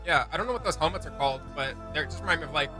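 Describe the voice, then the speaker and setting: A man's voice: on the high side, animated, racing. A man giving casual, slangy reaction commentary with enthusiasm, as host of a reaction video. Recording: music playing behind. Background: music.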